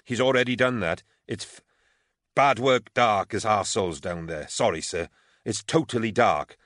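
A man's voice: lowish voice, normal speed, fairly bright tone, no echo, studio quality, voice pushed up, close to an everyday voice. A man voicing an anxious female army trooper in an audiobook, urgent and deferential as if reporting to superiors. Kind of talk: audiobook character acting.

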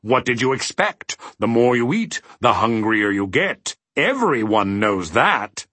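A man voicing a brisk, impatient mathematician wizard in a storybook, emphatic and completely self-assured. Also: mid-range voice, sing-song, pushed and bright, breathy edge, dry recording, heavily acted. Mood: certain. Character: brisk, impatient male mathematician wizard, hospitable but absolute.

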